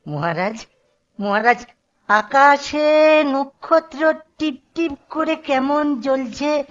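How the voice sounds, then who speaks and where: A woman voicing a poor, desperate old Brahmin man in a cartoon, halting and pleading as he repeats himself. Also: sits high, fairly bright tone, lo-fi sound. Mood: pleading, weary. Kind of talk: cartoon voice acting.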